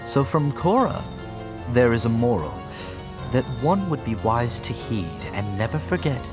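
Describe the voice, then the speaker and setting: A man's voice: medium pitch, sing-song, unhurried. A man narrating an audiobook as a theatrical storyteller, solemn and dramatic. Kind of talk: theatrical scripted storytelling in rhyme. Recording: music behind the voice.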